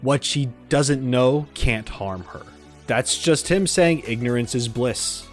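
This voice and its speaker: A man hosting a gaming reaction video, giving casual, informal and expressive commentary. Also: medium pitch, slow. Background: music under the voice.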